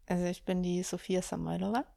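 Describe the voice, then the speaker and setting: A woman from Ukraine, a documentary filmmaker, answering interview questions in a relaxed way with many hesitations. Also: fairly low pitch, fast talker, creaky, dry recording, clean studio sound. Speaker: documentary filmmaker, workshop leader.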